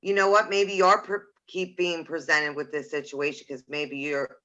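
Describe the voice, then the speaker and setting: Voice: medium pitch, little pitch movement, moderate pace, bright, forceful tone, lo-fi sound. A podcast co-host in casual chat, talking hesitantly and reflectively with many fillers.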